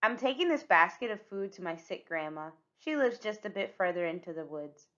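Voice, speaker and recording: A woman's voice: mid-range voice, animated, a little fast, fairly bright tone, lightly acted. A woman voicing a cheeky, questioning little girl in a storybook, on a dull recording. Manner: questioning, sassy. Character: cheeky, outspoken little fairy-tale girl.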